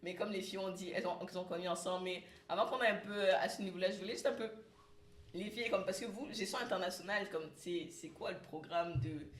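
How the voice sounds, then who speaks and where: A woman's voice: lowish voice, quick pace. A young woman from Cameroon hosting a casual podcast chat, laughing often and mixing English phrases into her French. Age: young adult. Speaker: podcast host. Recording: echoey room.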